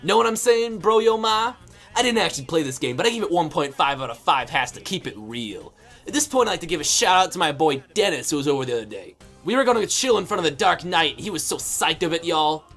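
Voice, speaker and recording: A swaggering slacker bro acted by a man reviews games in a comic fan-dub monologue. He rambles in thick bro slang, boastful and none too bright, with a pitch that swoops about freely. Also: heavily acted.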